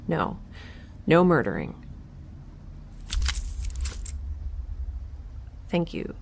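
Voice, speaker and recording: A woman playing a wry, frazzled young scientist in an audio drama, chatty and sardonic with halting moments. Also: deep voice, big pitch swings, creaky, slight background noise, full character voice. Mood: nervous. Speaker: lead actor and creator of the podcast. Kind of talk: first-person audio drama, spoken log.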